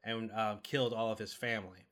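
A man co-hosting a podcast, giving a casual review and explaining things in a hesitant way, pausing mid-thought. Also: on the low side, steady tone, fairly bright tone. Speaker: podcast co-host reviewing a film.

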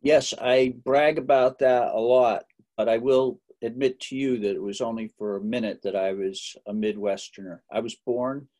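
A man's voice: medium pitch, steady tone, normal speed, a little mellow. Middle-aged man, musician guest, chatty storyteller with dry jokes and lots of restarts. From Massachusetts. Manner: chatty, joking, many fillers and restarts. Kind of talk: relaxed interview storytelling.

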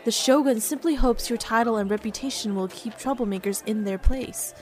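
A woman's voice: on the high side, brisk, soft background music, natural voice. A woman voicing a cheerful female companion who explains things in a teasing, upbeat way.

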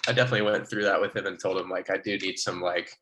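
A man's voice: low-pitched, steady tone, racing, background noise. A man in casual conversation as a working actor, informal and sweary, repeating words for emphasis. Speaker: working actor, podcast participant. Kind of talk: casual chat.

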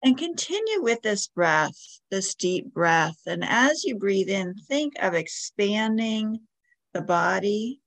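A woman leading a guided meditation as a podcast host, giving soothing, gentle instructions with long pauses. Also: mid-range voice, big pitch swings, no room sound.

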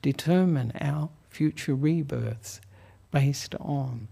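A soft-spoken Australian man giving a gentle Dhamma talk as a Buddhist monk, chuckling often and pausing on fillers. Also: mid-range voice, vocal fry, slightly rough. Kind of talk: relaxed Dhamma talk to a lay audience. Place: meditation hall of a Buddhist society.

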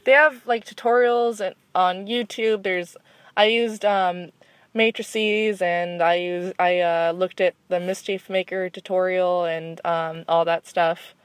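A woman's voice: lowish voice, fairly even intonation, slow pace, smooth, no echo. A young woman in casual group chat, talking earnestly and rambling with restarts. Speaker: panel guest in a fan discussion.

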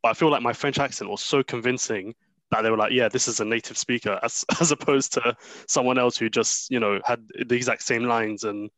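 A young man leading a casual discussion, speaking in a measured way and asking questions. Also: mid-range voice, steady tone, a bit bright, no room sound, poor audio. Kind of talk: casual chat.

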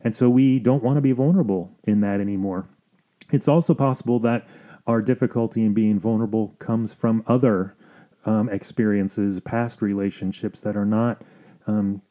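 A man hosting an advice podcast, explaining things in a measured, sometimes halting way. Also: fairly low pitch, dark tone. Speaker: podcast host.

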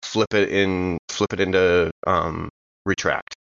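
A man with a low voice plays along in a tabletop game, casually talking through his character's actions. The recording is a little dull and muffled. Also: steady tone, slow pace, vocal fry, a bit bright, no echo. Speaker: tabletop game player on a podcast. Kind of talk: casual improvised role-play chat.

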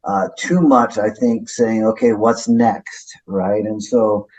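A middle-aged man with a fairly low voice speaks as a pastor being interviewed. He talks in a reflective, low-key manner and often tags his points with "right?". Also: expressive, slow, darkish tone, low-quality recording. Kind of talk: conversational interview.

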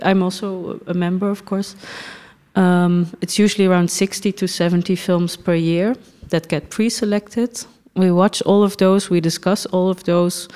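A middle-aged woman from the Netherlands, a film festival director, speaking thoughtfully in a podcast interview with frequent pauses and soft laughs. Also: on the low side, clean tone.

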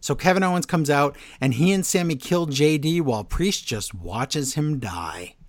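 A man co-hosting a wrestling podcast, recapping the week's shows as a lively story. He slips into little voices to act out what the wrestlers said. His voice sits at a middle pitch and sounds dry and close to the mic. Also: normal speed, no echo. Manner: animated storytelling recap, acting out quotes. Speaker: podcast co-host. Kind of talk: casual wrestling recap chat.

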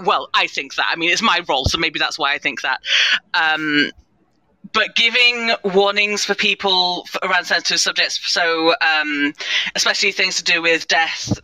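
A woman's voice: low voice, sharp tone, smooth, no room sound, rough recording. A woman speaking earnestly and thoughtfully as a panelist in a live discussion, often qualifying what she says. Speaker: panelist, head of inclusion at an audio drama company.

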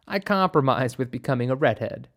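Woman doctor, acted by a narrator, composed, observant, measured, precise, wryly playful, audiobook character. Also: extremely low pitch, lively intonation.

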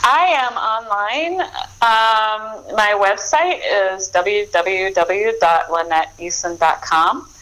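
A woman's voice: medium pitch, slow pace, a bit bright, poor audio. A woman in her forties, an author guest, talking in a rambling, easygoing way with many restarts. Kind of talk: casual interview chat.

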